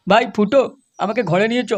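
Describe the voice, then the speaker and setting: A man doing a brash, high-pitched cartoon village guy. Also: fast talker, heavy background noise, natural voice. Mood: drunken bickering. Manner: brash, foul-mouthed, exaggerated. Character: crude, quarrelsome village men in adult comedy cartoon. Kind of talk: exaggerated comedy cartoon voice-over.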